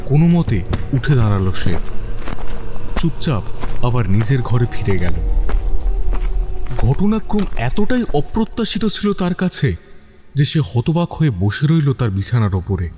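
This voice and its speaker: A man's voice: mid-range voice, sing-song, measured pace, pauses often, background noise. A man narrating a scripted mystery story in a dramatic storytelling style.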